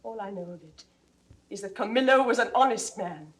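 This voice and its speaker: An actress playing a noble, wronged queen on trial in classical Shakespearean style, with a British accent and formal, measured diction. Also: mid-range voice, lightly acted. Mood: firm, dignified. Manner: formal, measured diction, sometimes dropping to hushed tones. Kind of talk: classical Shakespearean stage acting.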